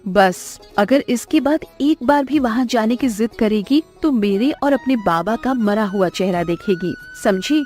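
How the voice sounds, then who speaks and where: A woman plays a protective village mother in a cartoon, her voice high and emotive. She is anxious and insistent, clinging to her child. Faint music runs behind her. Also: expressive, a little slow, hardly any pauses, a little mellow. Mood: scolding. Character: possessive, anxious fisherman's wife and protective mother. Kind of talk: Hindi cartoon story dubbing.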